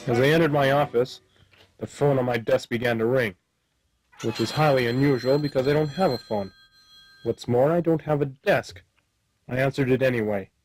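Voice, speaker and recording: Man acting as hard-boiled private detective, deadpan, wisecracking, absent-minded, noir radio drama, music behind. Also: mid-range voice, normal speed, close to an everyday voice, poor audio. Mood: wry, deadpan. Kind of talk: noir radio play narration and dialogue.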